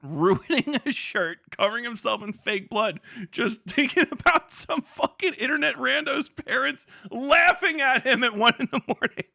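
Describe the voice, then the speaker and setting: A man's voice: very high voice, expressive, normal speed, vocal fry, pushed and bright, dry recording. A young man streaming live, commenting casually and laughing a lot while he mocks an online figure with plenty of swearing. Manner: profane, laughing often, mocking.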